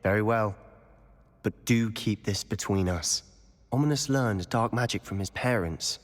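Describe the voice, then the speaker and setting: Man plays intent schoolboy wizard with secrets, lowish voice, confiding, game cutscene in a reverberant hall. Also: unhurried, lightly acted. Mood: grave, confiding. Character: brooding, persuasive teenage wizard schoolboy guarding secrets. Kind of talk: video game cutscene acting.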